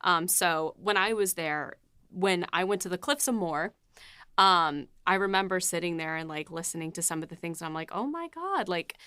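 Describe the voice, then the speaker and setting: Hesitant woman with a bright voice. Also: lowish voice, expressive, normal speed, clear, resonant tone, no echo, studio quality. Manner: hesitant, many "um"s, light laughs. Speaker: writer of the series. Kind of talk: casual behind-the-scenes chat.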